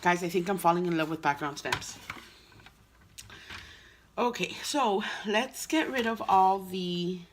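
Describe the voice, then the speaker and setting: A woman's voice: on the low side, measured pace, pauses often, noisy background. Nasal woman with a cold, chatty. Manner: chatty, explains each step with fillers. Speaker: craft channel host. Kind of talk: casual card-making tutorial.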